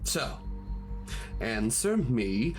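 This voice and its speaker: A woman's voice: a bass voice, sing-song, very slow, a little mellow, faint music behind, full character voice. A woman playing a bitter, scornful, rebellious emotion in an audio drama, with an imperious theatrical delivery. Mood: indignant. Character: bitter, rebellious personified Selfishness, indignant and scornful.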